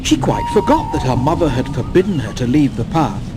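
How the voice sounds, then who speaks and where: A man narrating a children's fairy tale from a script as an expressive, wry storyteller. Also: mid-range voice, fairly quick.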